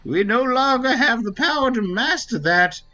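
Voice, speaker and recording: A man's voice: very high voice, animated, bright, forceful tone, muffled recording, heavily acted. A man voicing an ancient, solemn Wingly elder, wise and formal, in a dramatic read-aloud of a fantasy video game. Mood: grave. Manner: slow, grave, formal delivery. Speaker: game streamer. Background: faint game music.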